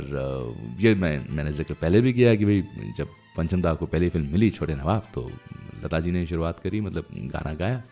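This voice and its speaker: A man presenting a radio show in a conversational, nostalgic storytelling way, pausing now and then as he goes. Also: deep voice, darkish tone.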